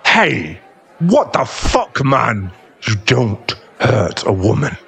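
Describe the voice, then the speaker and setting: A man playing a young werewolf bar owner in an ASMR boyfriend roleplay, teasing but secretly tender, with a halting, stammering delivery. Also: mid-range voice, big pitch swings, creaky, a bit bright, breathy edge, heavily acted. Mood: angry.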